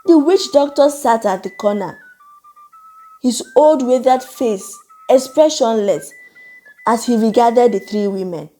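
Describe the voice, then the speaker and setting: A woman narrating a scripted folktale in a measured, suspenseful, dramatic way. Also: a little high, mellow, faint music behind. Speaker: storyteller, narrator.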